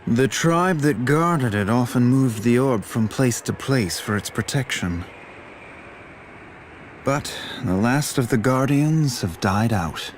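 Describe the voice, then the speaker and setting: A man acting a learned, composed video game figure who explains ancient lore in a formal, grave and measured way. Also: medium pitch, lively intonation, a little hiss or hum. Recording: music behind the voice.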